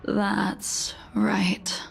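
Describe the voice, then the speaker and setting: A woman playing a solemn, weary woman who seeks to atone for her past sins, in a measured video game performance. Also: medium pitch, level tone, gravelly, in a whisper, faint music behind, heavily acted. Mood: resigned, calm.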